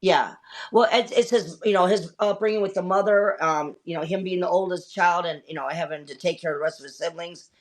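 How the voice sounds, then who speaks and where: A woman's voice: on the low side, fairly quick. Candid swearing woman telling stories. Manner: candid storytelling with frequent swearing; rambling, with many fillers. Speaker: host. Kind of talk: casual chat.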